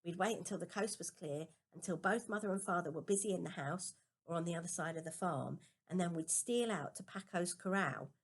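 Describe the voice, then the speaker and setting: Lowish-voiced woman reading a children's story. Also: fairly quick, breathy edge. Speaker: storybook reader. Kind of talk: scripted narration, children's novel read aloud in first person.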